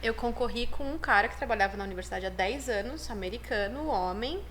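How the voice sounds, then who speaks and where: A woman's voice: on the high side, quick pace, a bit bright, a little hiss or hum. Chatty upbeat woman in her thirties. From Brazil. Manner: chatty, upbeat, many fillers and restarts. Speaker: chiropractic program dean, guest. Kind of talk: casual podcast interview.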